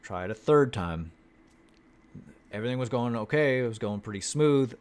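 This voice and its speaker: A man telling his vlog viewers about an acting class, talking in a flat monotone, swearing freely and restarting his sentences often. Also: mid-range voice, moderate pace, clear, resonant tone. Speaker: acting student vlogger. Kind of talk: casual chat. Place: Houston, Texas.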